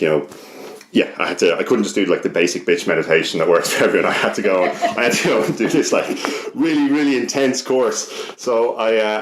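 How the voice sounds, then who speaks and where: A deep-voiced Irish man tells a story in a loose, conversational way. He is self-deprecating, rambles and swears freely. The room echoes clearly around him. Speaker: podcast guest. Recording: roomy, echoing podcast recording.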